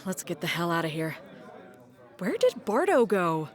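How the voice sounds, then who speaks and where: A woman's voice: lowish voice, sing-song, a little slow. A woman playing a teasing, affectionate, free-spirited aunt with a sardonic streak in a comedic audio drama. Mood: pleased, fond. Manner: playful, sardonic.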